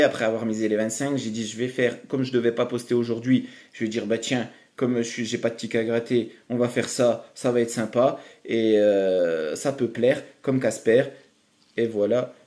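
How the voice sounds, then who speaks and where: Chatty excitable man. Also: medium pitch. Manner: excitable, chatty, addresses viewers as friends. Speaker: online poker streamer. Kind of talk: casual live commentary while playing.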